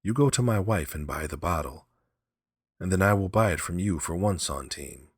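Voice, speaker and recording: A man acts out a proud, scheming husband from a storybook. His voice is low and forceful, quick to temper and full of demands, in a dry, close sound. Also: expressive, slightly rough, no echo. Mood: urgent.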